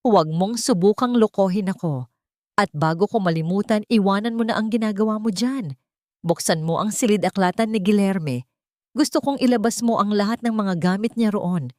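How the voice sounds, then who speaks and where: A woman's voice: medium pitch, moderate pace, mellow, no room sound, clean studio sound, voice pushed up, lightly acted. A woman voicing a stern, suspicious old mistress of a mansion in an audiobook drama, with a commanding, imperious manner.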